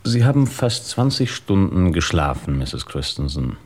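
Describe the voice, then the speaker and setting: Low, mellow police inspector. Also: male voice, sing-song, a little slow, full character voice. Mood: polite, probing. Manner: polite, methodical questioning. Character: calm, probing male police inspector, polite but authoritative. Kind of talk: radio drama acting.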